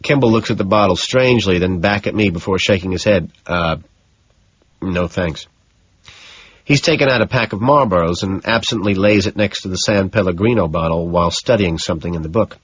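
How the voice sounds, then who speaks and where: A man's voice: low voice, fast talker, hardly any pauses, slightly rough, lo-fi sound. A man reading scripted first-person audiobook narration.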